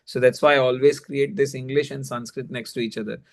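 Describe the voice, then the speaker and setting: A man's voice: medium pitch, steady tone, fairly quick. Male religious teacher, Indian accent, mid-range voice, conversational and explanatory delivery. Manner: explanatory, frequently checking with "you know".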